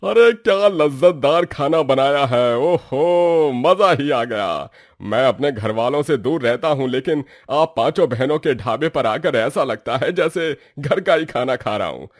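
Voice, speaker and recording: A man's voice: medium pitch, animated, unhurried, few pauses, dry recording, clean studio sound, lightly acted. A man playing hearty, food-loving village fellows in a Hindi moral story. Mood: enthusiastic. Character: hearty village men, enthusiastic food-loving friends and diners.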